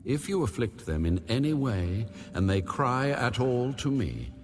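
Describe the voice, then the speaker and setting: A man's voice: medium pitch, sing-song, measured pace. A man narrating scripture in a solemn, dramatic reading style. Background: background music.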